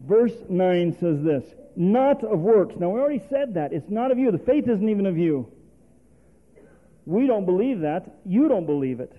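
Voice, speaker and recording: A man's voice: high-pitched, big pitch swings, normal speed. A male preacher, delivering a sermon full of rhetorical questions and calls for response. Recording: tinny phone-like sermon recording.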